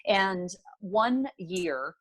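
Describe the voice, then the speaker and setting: Polished low-voiced Canadian woman. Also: lively intonation, very slow, a bit bright. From Northern Ontario, Canada. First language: French. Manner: articulate, polished former broadcaster. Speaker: guest, business owner. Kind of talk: interview answers.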